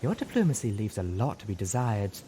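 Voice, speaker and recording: A man voicing a poised female pony diplomat in an audiobook, measured and scolding, over background noise. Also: sing-song, mellow. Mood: reproving. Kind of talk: audiobook character voice. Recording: noticeable background noise with low rumble.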